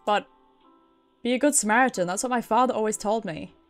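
High-voiced British woman streaming a game. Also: brisk, soft background music. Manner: chatty, sweary, reacting aloud to the game. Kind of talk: casual game stream commentary, reading dialogue aloud.

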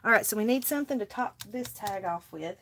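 A woman's voice: mid-range voice, animated, brisk, a bit bright, slight background noise. Chatty Southern woman crafting live. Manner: chatty, talks to viewers while working. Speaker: craft livestream host. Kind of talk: casual live crafting chat. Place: indoor craft table, overhead camera.

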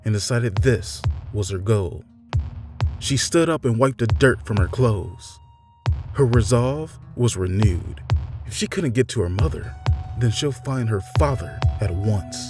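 A man narrating a scripted fantasy story in a measured, deliberate, theatrical storytelling manner. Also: on the low side, animated. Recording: music behind the voice. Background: background music.

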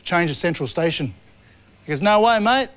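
A man doing a fast, shouty stand-up routine, heard from a distance. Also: sits high, expressive, very noisy. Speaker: stand-up comedian.

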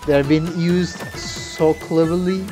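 A man's voice: on the high side, fairly even intonation, unhurried. A young man giving casual, slangy reaction commentary as a musician, exclaiming and thinking aloud. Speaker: musician reacting to game videos. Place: small home room with guitars. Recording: game music playing behind. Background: game trailer music.

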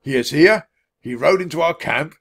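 A man's voice: mid-range voice, moderate pace, gravelly, close to an everyday voice. A man voicing a stern, suspicious Roman centurion who barks out orders and demands in an audiobook story. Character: barking, suspicious Roman centurion, commanding military officer.

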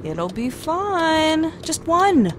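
Caring, excitable mother for audio drama, female actor; lively swooping delivery, slightly noisy track. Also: high voice, very slow, heavily acted. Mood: upbeat coaxing. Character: caring, excitable mother of a baby, easily exasperated.

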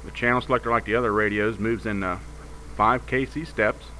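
A man's voice: fairly low pitch, steady tone, fairly quick, sharp tone. A man giving an informal hands-on demonstration of his radio equipment, explaining hesitantly and unscripted. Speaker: amateur radio hobbyist. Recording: noisy home video with radio hiss.

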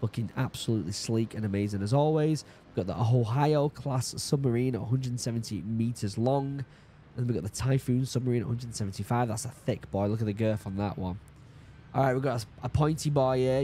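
A man in his thirties from the UK, a bit hoarse from being sick, chatting casually as he reacts to a video and reads labels aloud, excited now and then. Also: medium pitch, slight background noise. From United Kingdom. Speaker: YouTube reaction host. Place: home study with bookshelves. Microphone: studio mic on boom arm, headphones.

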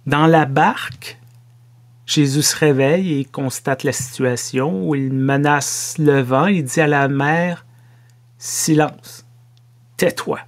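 Slow-preaching Québécois man. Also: a little high. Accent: Québécois French. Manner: slow, measured preaching with playful asides. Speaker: pastor. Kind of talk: sermon to camera. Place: home office with bookshelves.

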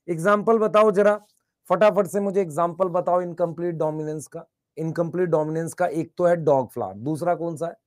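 A male teacher with a high voice, a bit hoarse today. He keeps asking the class to answer fast in the chat and repeats each point. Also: darkish tone. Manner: calls students "beta", repeats questions and urges quick chat answers. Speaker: biology teacher. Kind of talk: online class lecture.

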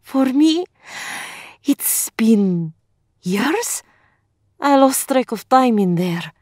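A woman's voice: a little high, very animated, very slow, darkish tone, dry recording, clean studio sound, heavily acted. A woman playing a teenage girl returned from hell in an audio drama, speaking earnestly and with emotion.

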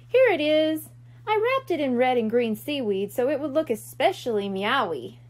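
A woman's voice: high voice, sing-song, normal speed. A woman voicing a caring, conscientious girl kitten-mermaid in a children's storybook, earnest and slightly worried. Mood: kind, earnest.

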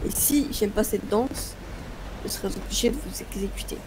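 A man's voice: high-pitched, normal speed. Male gamer, improvised robber roleplay, polite hesitant commands, very noisy voice chat, car ambience. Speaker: online player acting as robber. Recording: clearly noisy game voice chat.

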